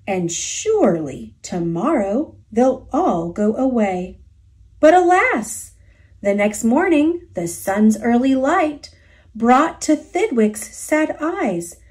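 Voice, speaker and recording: An American woman reads a rhyming children's story aloud. Her voice is high and very melodic, and she takes her time, enunciating each phrase deliberately and with expression. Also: darkish tone. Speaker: storybook narrator.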